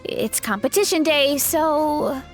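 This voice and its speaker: A woman's voice: high voice, slow, soft background music. A woman playing a sweet, spacey, food-loving young woman warrior in a video game, with a hesitant manner. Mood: sheepish, distracted. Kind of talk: video game character acting.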